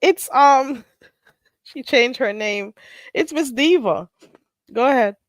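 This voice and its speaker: A high-pitched woman with very animated intonation, recorded close and dry. She moderates an informal online panel in a casual, amused way. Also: takes it slowly, no room sound. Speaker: host of a live online panel.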